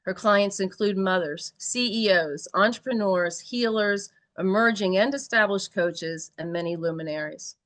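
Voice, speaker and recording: A woman's voice: medium pitch, normal speed, hardly any pauses, no room sound. A woman host steadily reading out a guest speaker's introduction. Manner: steady, even reading of a bio. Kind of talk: read-out introduction.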